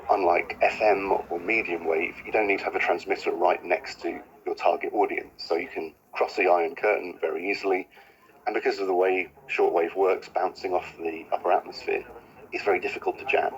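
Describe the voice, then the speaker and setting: A man's voice: deep voice, fairly even intonation, fairly quick, highs cut off. A man giving an informal explanatory talk as an expert guest.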